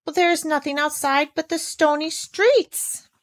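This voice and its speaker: A woman's voice: sits high, a little slow, pitched above the performer's own voice. A woman reading a storybook as an innocent, earnest, curious little girl. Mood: disappointed, curious.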